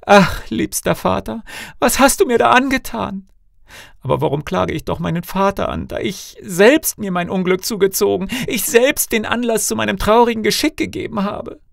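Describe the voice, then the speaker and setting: A man's voice: high voice, normal speed, vocal fry, no echo, studio quality, voice pushed up. A man voicing a despairing young princess, wailing and blaming herself, in a fairy tale audiobook. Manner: wailing, self-reproaching. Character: despairing young princess lamenting her bitter fate.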